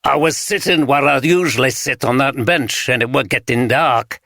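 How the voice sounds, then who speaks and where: A man voicing a wary, scruffy homeless man with a broad Yorkshire accent, gruff and guarded. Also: medium pitch, moderate pace, bright, forceful tone, dry recording, clean studio sound, pitched above the performer's own voice. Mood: recounting. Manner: gruff, guarded, blunt dialect speech.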